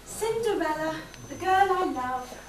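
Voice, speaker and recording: A chirpy pantomime servant played by a woman narrates straight to the audience. The voice is high and animated, heard from a distance in an echoey hall. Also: measured pace. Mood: dramatic storytelling. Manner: bright, declamatory, addressing the audience directly. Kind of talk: amateur pantomime stage acting and narration. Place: theatre or hall stage with audience.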